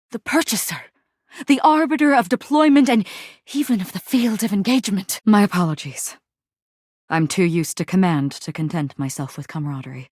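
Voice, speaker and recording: A woman's voice: medium pitch, no room sound, lightly acted. A grandiose, war-weary general played by a woman declaims in a mid-range voice. The delivery is solemn and fervent, full of archaic, poetic turns, like a stage tragedy made for a video game. The sound is dry, with no room around it. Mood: contrite, formal. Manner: theatrical, archaic poetic speech. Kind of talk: dramatic video game character acting.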